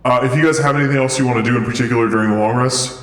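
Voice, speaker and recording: A young man running a tabletop game as dungeon master, relaxed and halting, with lots of ums. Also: medium pitch, a crowd in the background. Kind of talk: casual tabletop game narration. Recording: echoey room with long reverb.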